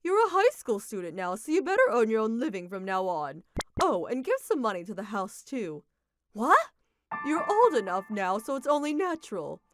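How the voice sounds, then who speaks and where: Harsh widowed mother, loud and cutting, voiced by a woman. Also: on the high side, big pitch swings, moderate pace, over music. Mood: cold. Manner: loud, sharp scolding. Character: cold, harsh widowed mother, scolding and domineering. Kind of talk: manga dub voice acting.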